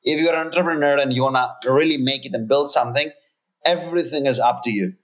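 A man's voice: mid-range voice, fast, fairly bright tone. A man in his thirties, originally from Greece, a company founder talking earnestly and emphatically as a guest, often restarting his sentences. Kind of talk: casual interview talk.

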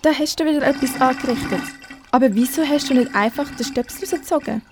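A woman's voice: high voice, fast, natural voice. A teenage girl with a Swiss German accent playing a caring, mildly scolding girl in an amateur radio play.

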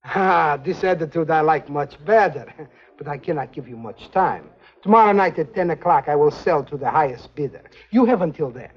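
An actor playing a jovial, slippery, greedy European go-between who sells secrets in a 1950s television spy drama, with formal phrasing. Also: male voice, a little high, animated, normal speed, breathy edge. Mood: businesslike, greedy. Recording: dull 1950s TV film soundtrack.

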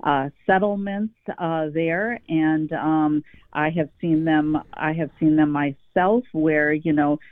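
An interview guest answers slowly and hesitantly, stumbling over fillers. The voice is dry and close, and it comes through a narrow, phone-like line. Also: medium pitch, fairly even intonation, no echo. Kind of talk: casual interview talk.

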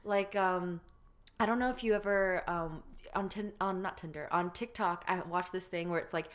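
A young woman hosts a casual podcast chat with a friend. She talks loosely, with lots of likes and ums and quick laughs. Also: on the low side. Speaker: podcast host.

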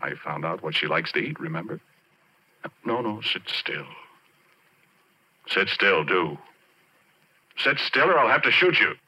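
Obsessed ex-oil driller, American, haunted, halting confessional delivery, very sharp low voice, vintage radio horror. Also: male voice, very animated, breathy edge, full character voice. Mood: menacing, coaxing. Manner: halting, conversational, brooding with dramatic pauses. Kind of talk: 1940s radio horror acting, intimate first-person narration. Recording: dull, noisy 1940s radio recording.

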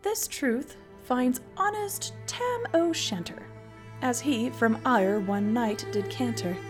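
A woman podcast host giving measured scripted narration and reading a poem aloud. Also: on the high side, lively intonation, faint music behind.

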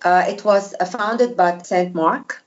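A woman from Egypt with an Egyptian accent, speaking English as a second language, a former diplomat answering interview questions in a measured, hesitant way. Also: fairly low pitch, dull audio. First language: Arabic.

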